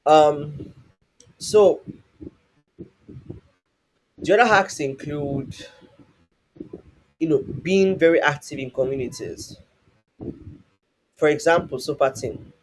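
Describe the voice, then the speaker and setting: A man with a husky voice, teaching a live online class in a rambling way, full of restarts and fillers. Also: a little high, slow, long pauses, poor audio. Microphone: online call.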